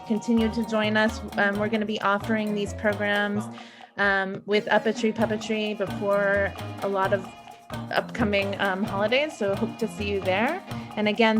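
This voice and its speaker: A woman hosting a children's online event from a bedroom, giving informal thanks and announcements in a halting way. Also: mid-range voice. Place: bedroom, live stream.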